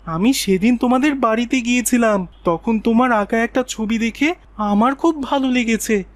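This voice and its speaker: A woman's voice: on the high side, dry recording, close to an everyday voice. A woman voicing a supportive schoolboy in a moral cartoon story, giving a friendly, insistent pep talk.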